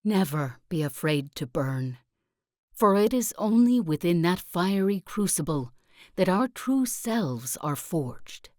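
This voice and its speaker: A woman voicing a zealous self-help guru and sect leader in a video game, speaking in solemn, deliberate oratory with calm conviction. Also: on the low side, no echo, clean studio sound. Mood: fervent preaching. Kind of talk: scripted video game character monologue.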